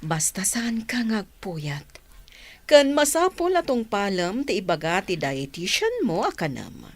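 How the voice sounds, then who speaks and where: A woman's voice: medium pitch, huge pitch swings, a little slow, dry recording, exaggerated voice. A woman playing a caring, firm and teasing doctor in an Ilocano comedy radio drama, with an Ilocano accent.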